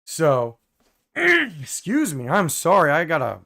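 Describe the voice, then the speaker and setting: A hoarse young man hosting a casual live stream, reading viewer comments with many fillers and throat clearing. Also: a little high, huge pitch swings, takes it slowly, sharp tone.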